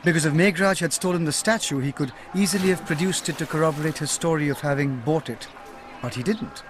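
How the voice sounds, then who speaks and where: An actor playing an earnest, sharp-minded detective in a radio drama, protective and articulate, with a probing manner. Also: male voice, on the high side, a little fast, background noise, close to an everyday voice. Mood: composed.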